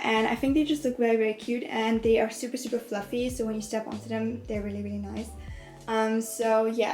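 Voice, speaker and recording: A young woman, a native German speaker, chats her way through a tour of her dorm room, stressing words by saying them twice. Her voice sits a little high, with faint music playing under it. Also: darkish tone. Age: young adult. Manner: chatty, repeats words for emphasis ("very, very"). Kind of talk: casual tour narration.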